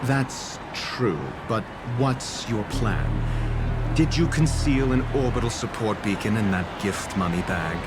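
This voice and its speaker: Haughty intellectual, formal, cutting, condescending, expressive pitch, measured pace, video game cutscene. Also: male voice, heavy background noise. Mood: dry, skeptical. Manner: condescending, dry, formal, deliberate. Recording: loud music behind the dialogue. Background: loud music.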